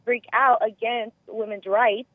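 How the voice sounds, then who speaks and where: A woman with a squeaky voice calling in by phone, chatting casually in a rambling way full of filler words. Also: fairly even intonation, a little slow, dry recording. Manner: rambling, frequent "like" and "you know". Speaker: caller. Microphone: phone call. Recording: muffled lo-fi telephone line.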